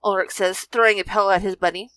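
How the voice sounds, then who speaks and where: A woman's voice: mid-range voice, steady tone, quick pace, fairly bright tone, dry recording. A woman narrating a fan fiction story as a scripted reading.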